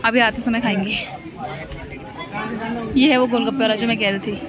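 A woman's voice: a little high, fairly even intonation, normal speed, a crowd in the background. A woman, narrating a casual family vlog from a car and a busy hill-town market, chatty and often giggling with the children. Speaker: vlogger.

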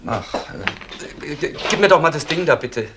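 Guy acting a flustered suspicious man, stammers, lightish voice, old radio drama, clear noise behind. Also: a little high, lively intonation, natural voice. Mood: wary, flustered. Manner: halting, stammering, impatient. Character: flustered, wary man taking a phone call. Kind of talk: German crime radio play acting.

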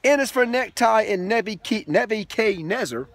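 A man's voice: high-pitched, moderate pace, a little hiss or hum. A man in his thirties, a father playfully reading a picture book aloud to his young son in the back of a minivan. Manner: playful, animated storytelling with asides to the child.

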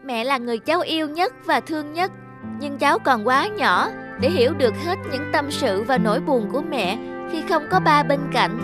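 A woman voicing a devoted, thoughtful young schoolboy in an animated drama, polite, earnest and imploring. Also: sits high. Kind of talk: animated moral drama acting.

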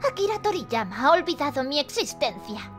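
A woman's voice: high-pitched, very animated, normal speed, a bit bright. A woman voicing a solemn, blunt, forgotten mystic in a cartoon fandub. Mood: solemn, wistful. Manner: dramatic, solemn. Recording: music behind the voice.

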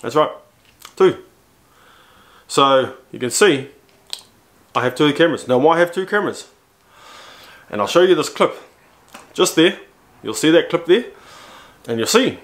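A man in his forties with a New Zealand accent, chatting casually to camera about his gear, repeating himself and jumping up in pitch when he shows things, in a room at home on a camera-mounted mic. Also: lively intonation, measured pace, long pauses. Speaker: motorcycle vlogger. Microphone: camera-mounted shotgun mic.

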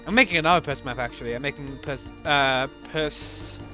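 A man's voice: medium pitch, fairly quick, music in the background. A young man casually commenting on his own game levels as he plays, rambling and self-deprecating, with lots of fillers and restarts.

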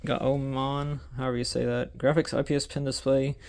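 Rambling man with a gravelly voice. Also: medium pitch, flat delivery, moderate pace, noisy background. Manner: rambling, thinking aloud with many fillers and restarts. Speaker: storage unit reseller. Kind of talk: casual vlog narration while sorting items. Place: indoor self-storage unit.

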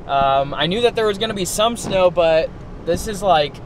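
A man's voice: high-pitched, animated, moderate pace, a little hiss or hum. A young man vlogging about his camping trip in a casual, chatty way, laughing between remarks, recorded on a camera held at arm's length, first inside a truck and then outdoors in the snow. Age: young adult. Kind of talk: casual vlog narration. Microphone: handheld camera at arm's length.

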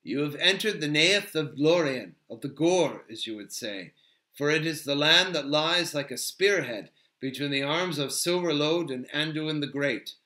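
A man voicing a stern, dignified male elf sentinel in a storybook, formal, measured and commanding. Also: a little high, lively intonation, pushed and bright. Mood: formal, firm. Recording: roomy, echoing sound.

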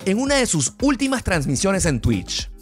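A man's voice: sits high, very animated, moderate pace, over music. A man narrating a scripted tech review in his vlog, with an enthusiastic storytelling manner. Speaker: tech vlogger.

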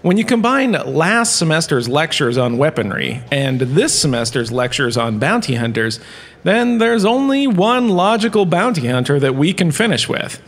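Animated, theatrical male lecturer in an echoing hall. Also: fairly high pitch, moderate pace. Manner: self-satisfied, wry, dramatic, chatty asides. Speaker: host playing a university lecturer. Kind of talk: theatrical comedic scripted lecture. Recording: echoing hall with long reverb.

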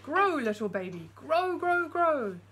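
A woman's voice: sits high, sing-song, takes it slowly, very noisy, pitched above the performer's own voice, exaggerated voice. A woman voicing an eager, ambitious mother in a storybook, emphatic and sing-song. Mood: eager chanting. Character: eager, ambitious, never-satisfied young mother.

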